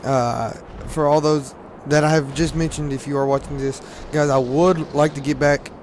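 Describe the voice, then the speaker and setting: A hoarse teenage man from Louisiana, rambling casually with lots of fillers and laughs while commenting on a game at a desk mic. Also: on the high side, fairly even intonation, normal speed, a little mellow, slight background noise. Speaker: gaming commentator.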